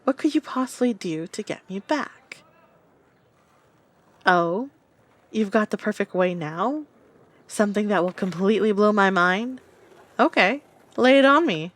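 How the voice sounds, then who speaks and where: A woman playing a smug, excitable young prankster, bantering and boasting playfully in a comedic audio roleplay. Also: medium pitch, unhurried, pauses often.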